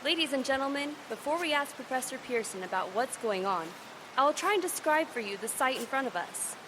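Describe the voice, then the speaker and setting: A persistent female field reporter who narrates the scene in a brisk, broadcast-style delivery. Her voice sits a little high, and the live stage recording carries steady background noise.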